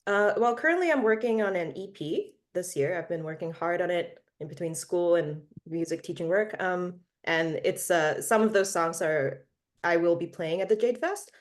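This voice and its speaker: A woman's voice: on the low side, normal speed. Hesitant young woman from Malaysia. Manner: hesitant, many fillers and restarts, laughs often. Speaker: interview guest, singer-songwriter. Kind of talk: casual interview answers.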